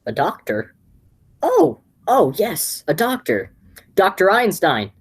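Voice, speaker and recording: A woman playing an excitable, flustered young man in a radio play, with a husky voice and a stammer. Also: medium pitch, slow, full character voice. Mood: urgent, flustered.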